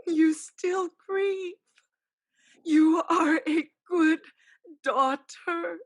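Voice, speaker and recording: A woman plays an otherworldly female ancestor spirit with a high voice and extremely slow delivery. She intones like a summoning chant, with a sorceress's scheming underneath, in theatrical stage style. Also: exaggerated voice. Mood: solemn, coaxing. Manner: slow, incantatory, drawn-out calling.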